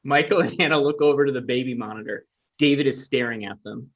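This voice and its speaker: A man's voice: mid-range voice, fast. Man narrating, dull lo-fi sound. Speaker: narrator reading stage directions. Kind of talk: scripted narration at a table read.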